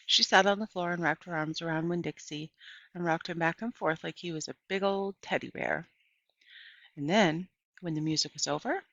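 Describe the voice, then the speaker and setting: A woman reading a children's novel aloud as an audiobook narrator, in an animated storytelling way. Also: sits low, vocal fry, dry recording, muffled recording. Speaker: audiobook reader.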